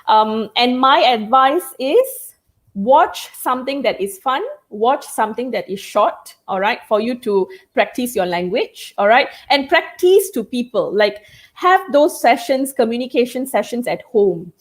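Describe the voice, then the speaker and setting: A woman's voice: a little high, lively intonation, measured pace. A woman whose first language is Tamil, speaking as a communication trainer in a live online chat, explaining tips and often checking in with "okay?" and "all right?". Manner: instructive, peppers speech with "all right?" and "okay?".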